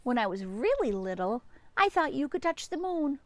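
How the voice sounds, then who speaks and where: A woman's voice: sits high, very animated, normal speed, slight background noise. A woman reading the part of a loving, curious, earnest young boy in a picture book, with eager wonder and tenderness. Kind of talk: picture-book read-aloud.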